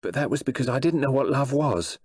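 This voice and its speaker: A man's voice: medium pitch, lively intonation, a little fast, breathy edge, dry recording, clean studio sound, voice pushed up. A man voicing a frank, strong-willed woman in her thirties, direct and firm, in an audiobook. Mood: frank admission.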